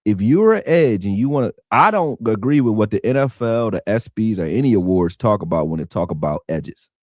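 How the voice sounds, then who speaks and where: A man rants through a live sports talk stream. His pitch swings widely as he talks, and he keeps leaning on "you know what I'm saying." The sound is close and dry. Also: lively intonation, a little fast, a little mellow, no echo. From Philadelphia. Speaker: sports show host.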